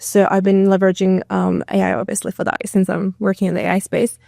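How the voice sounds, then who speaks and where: A woman in her thirties chats easily as a podcast guest and wanders into casual asides. Her voice sits at a middle pitch. The recording is dry, with no room sound. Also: completely flat delivery, fast talker, creaky, warm tone, no echo. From Mongolia. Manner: chatty, with many fillers and asides. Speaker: guest, AI content manager. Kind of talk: casual interview talk.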